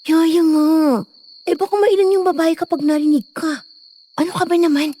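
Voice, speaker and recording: A woman's voice: very high-pitched, steady tone, normal speed, mellow. A woman playing a polite, easily embarrassed young woman in an audio drama. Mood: scolding, embarrassed. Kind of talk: Filipino audio horror drama acting.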